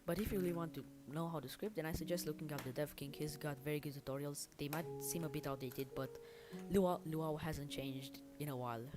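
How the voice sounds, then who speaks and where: A woman's voice: sits low, a little fast, hardly any pauses. A woman casually chatting on a livestream as she works, thinking aloud in an informal, self-deprecating way. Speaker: livestreamer and hobby game developer. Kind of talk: casual livestream chat while working. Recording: music and typing behind the voice. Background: background music, keyboard typing.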